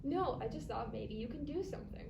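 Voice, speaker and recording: Distant noisy stage recording, girl acting caring reproachful woman coworker, fairly high voice, earnest theatre. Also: expressive, brisk, vocal fry, reverberant, lo-fi sound. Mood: upset, pleading. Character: upset, caring, reproachful female office coworker. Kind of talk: staged reader's theatre performance.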